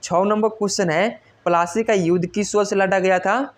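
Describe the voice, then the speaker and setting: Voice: mid-range voice, fairly even intonation, moderate pace. An exam coaching teacher, reading out quiz questions and explaining the answers in a repetitive, instructional way that stresses each answer.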